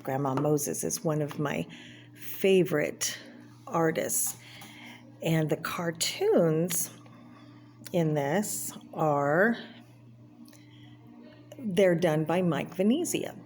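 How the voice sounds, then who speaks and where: Slow-paced woman reading a children's book aloud. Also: low voice, a little mellow. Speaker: reader of a children's art book. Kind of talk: read-aloud narration with brief personal asides. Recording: clear background noise, homemade read-aloud video.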